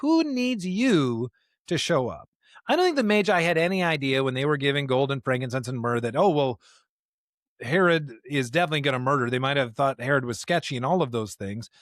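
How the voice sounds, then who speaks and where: A man's voice: mid-range voice, animated, fast, fairly bright tone, dry recording. The man at the podcast table who slips into preaching, driving points home by repetition, recorded close and dry. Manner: emphatic, repeats rhetorical questions and phrases. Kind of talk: conversational podcast discussion with sermon-like passages.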